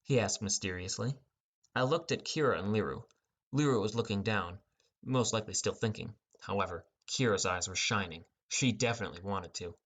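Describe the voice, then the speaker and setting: A man's voice: lowish voice, brisk, a bit bright. A man narrating a scripted first-person fantasy adventure as an audiobook.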